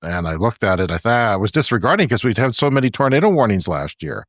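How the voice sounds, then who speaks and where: A man co-hosting a podcast in casual chat, talkative and quick to laugh. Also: lowish voice, big pitch swings, no room sound, clean studio sound. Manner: chatty, laughs often between remarks. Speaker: podcast co-host. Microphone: remote podcast call.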